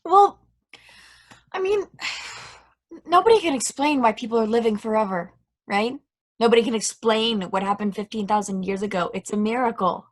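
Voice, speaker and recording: A woman's voice: on the high side, moderate pace, close to an everyday voice. A woman playing a naive, newly awakened space probe, earnest and hesitant, in a conversational table read.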